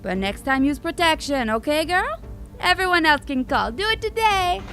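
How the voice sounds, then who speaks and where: Casting: a scheming, sugary phone psychic woman, high and swooping, faint music under. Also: sing-song, moderate pace, fairly bright tone, exaggerated voice. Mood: sugary pitch. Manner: sugary, conspiratorial sales pitch. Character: scheming female phone psychic, middle-aged, manipulative. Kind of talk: radio drama acting.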